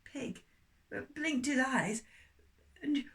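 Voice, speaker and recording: A woman's voice: high-pitched, animated, very slow, fairly bright tone. An older woman telling a children's story expressively, addressing small children.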